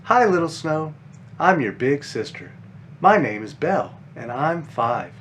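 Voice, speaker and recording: An eager, caring five-year-old big sister bunny from a picture book, read out by a man. The pitch swings in a lively way as things are explained simply to a younger child. Faint noise sits behind the voice. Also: measured pace. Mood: earnest, caring.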